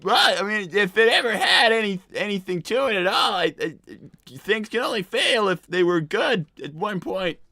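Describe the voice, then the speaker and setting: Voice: mid-range voice, expressive, moderate pace, hardly any pauses, no echo. Cartoon fox kid voiced by a fan performer: defensive, stumbling over words, very dry close sound. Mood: guarded. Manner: stammering, protests loudly.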